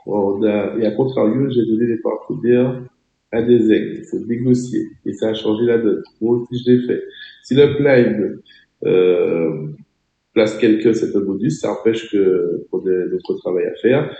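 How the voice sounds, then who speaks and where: A French man with a cold drives home his points to a webinar audience, pressing and exhorting them directly. His voice sits very dark and mellow, and it rings with room echo over the video call. The delivery is that of an insistent trainer. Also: on the low side, unhurried, vocal fry, lo-fi sound. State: has a cold, voice a bit off. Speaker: network marketing trainer.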